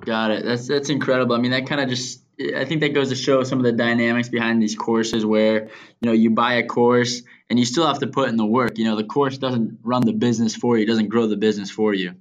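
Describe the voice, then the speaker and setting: A man's voice: medium pitch, steady tone, brisk, lo-fi sound. Enthusiastic male podcast host. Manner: enthusiastic, with many "you know" fillers. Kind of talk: casual interview hosting.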